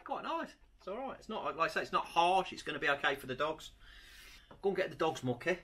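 A man's voice: on the high side, sing-song, quick pace. Guy in his forties, casual reviewer who narrates every step, camera mic, noticeable background noise in a garage. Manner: chatty, talks himself through each step. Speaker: product reviewer. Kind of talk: casual hands-on product review. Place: home garage workshop, indoors. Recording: noisy garage recording.

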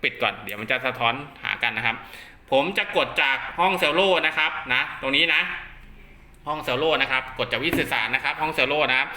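A man's voice: medium pitch, a little fast, sharp tone, roomy sound, a little hiss or hum. A man casually demonstrating equipment step by step, chatty and polite, like a seller testing a product for a buyer.

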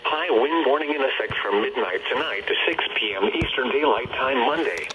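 A synthetic-sounding man reads a scripted weather warning as a formal, measured radio announcer. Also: mid-range voice, animated. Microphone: camera held near radio speaker. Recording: dull, noisy weather radio speaker.

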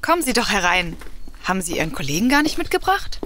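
An actress playing a gracious, flirtatious author hostess in a comedic audio drama, courteous and inviting. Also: female voice, mid-range voice, sing-song, fast, noisy background, close to an everyday voice. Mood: gracious, flirty.

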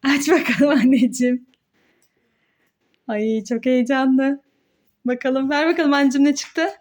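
A woman hosting a children's play challenge as a mother, giving excited, encouraging play-along commentary in a sing-song way with repeated words. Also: sits high, a little slow.

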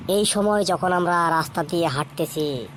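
A woman's voice: lowish voice, normal speed, slight background noise, lightly acted. A woman voicing a jovial village uncle in a cartoon, telling a ghost story in a colloquial, suspenseful way.

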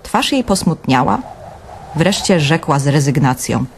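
A woman's voice: low-pitched, animated, measured pace, slight background noise. An actress playing a dreamy, wry young woman who narrates her own story in a radio drama.

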